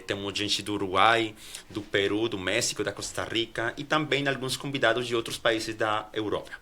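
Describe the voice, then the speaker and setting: Colombian man, Spanish first language, bright voice, academic guest answers with frequent restarts. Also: lowish voice. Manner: halting, many restarts and fillers. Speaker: guest professor.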